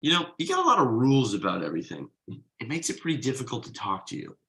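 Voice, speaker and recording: A man's voice: mid-range voice, huge pitch swings, quick pace, gravelly, sharp tone, full character voice. A man playing a testy, sarcastic father in a sitcom table read, dry and needling. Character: testy, sarcastic husband and father of a small girl.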